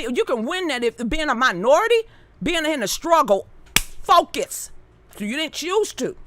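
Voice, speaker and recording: A woman's voice: high-pitched, a bit bright, no room sound. A woman, ranting bluntly and heatedly to her live-stream viewers with plenty of cursing. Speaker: live-stream political commentator. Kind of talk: unscripted live-stream rant.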